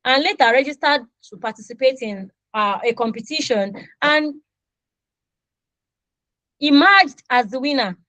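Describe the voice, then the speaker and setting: Nigerian accent, woman, thirties, online English lesson, animated, higher pitch. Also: measured pace, pauses often, no echo, rough recording. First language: Yoruba. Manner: animated, acts out little dialogues with students. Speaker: English teacher. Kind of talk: online class lecture.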